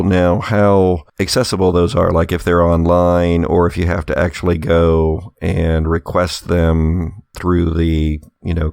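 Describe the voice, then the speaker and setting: Man, low-pitched, unhurried, tabletop game master, horror narration, explanatory and conversational. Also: gravelly, a little mellow, dry recording.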